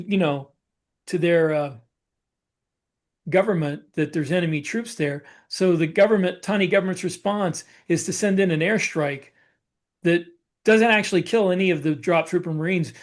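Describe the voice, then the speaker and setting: A man with a distinctly high-pitched voice chats as a podcast co-host. He describes things casually in short, halting phrases, pausing and repeating words along the way. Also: darkish tone. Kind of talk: casual discussion, describing story scenes.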